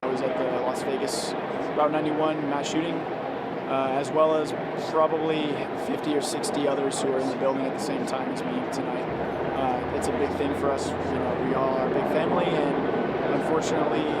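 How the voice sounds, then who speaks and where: Young man, flat pitch, unscripted interview, very noisy outdoor recording. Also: normal speed. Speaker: eyewitness. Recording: loud outdoor street noise.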